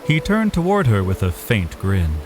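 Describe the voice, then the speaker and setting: A man's voice: lowish voice, wildly swooping pitch, moderate pace, faint music behind. A man narrating a scripted fantasy story in a dramatic style.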